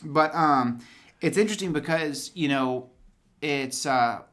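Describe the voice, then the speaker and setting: A young man chatting casually about a TV episode, excitable and slangy with lots of fillers. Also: a little high, unhurried.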